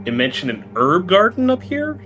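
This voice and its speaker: A man's voice: sits high, wildly swooping pitch, normal speed. A man playing in a tabletop game, chatting casually and thinking aloud a little tentatively. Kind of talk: casual chat. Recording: music playing behind the voice.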